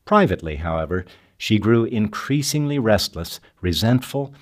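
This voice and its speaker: A man reading scripted documentary narration for a podcast in a measured, literary manner. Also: on the low side, very animated.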